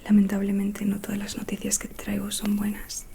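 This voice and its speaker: A woman whispering in a breathy, soothing, hushed way, playing a calm, mysterious witch fortune teller in an ASMR roleplay. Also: mid-range voice, a little hiss or hum. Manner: slow, hushed, soothing delivery. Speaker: ASMR performer.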